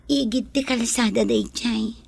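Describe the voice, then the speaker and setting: Girl acting young filipino daughter, highish breathy voice, dry sound, music behind, audio drama. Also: fairly even intonation, unhurried, no room sound, full character voice. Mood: earnest. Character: grown daughter confiding softly in her mother.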